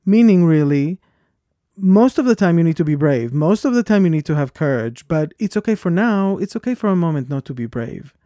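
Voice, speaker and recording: A man with a foreign accent co-hosting a podcast, talking in an earnest and reflective way that turns animated when he tells a story. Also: a little high, a little fast, dry recording, clean studio sound. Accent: foreign accent, origin unstated. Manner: earnest, thoughtful, animated when telling stories. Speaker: podcast co-host. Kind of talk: reflective conversation.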